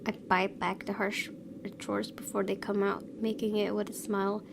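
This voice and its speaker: A woman's voice: mid-range voice, flat delivery, normal speed, background noise. A young woman hosting a let's play, chatting casually and reading game text aloud. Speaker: gaming video host. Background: clear game music.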